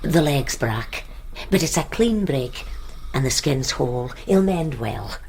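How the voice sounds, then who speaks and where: An actress playing a plain-spoken, devout village housekeeper in a period radio drama, with a Scottish accent and homely rustic dialect. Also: female voice, extremely low pitch, lively intonation, measured pace, darkish tone, slight background noise, exaggerated voice. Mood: homely, confiding.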